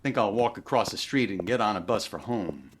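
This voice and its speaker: A man's voice: lowish voice, expressive, a little fast, a little hiss or hum, lightly acted. A middle-aged man playing a vain, stingy, sulking boss in a recreated 1950s radio comedy, huffy and indignant. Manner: huffy, indignant comic delivery.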